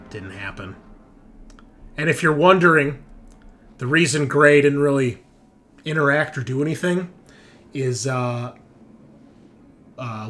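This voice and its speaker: A man's voice: mid-range voice, fairly bright tone. A man game master casually reading out and commenting on a tabletop roleplaying game. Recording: noisy room sound.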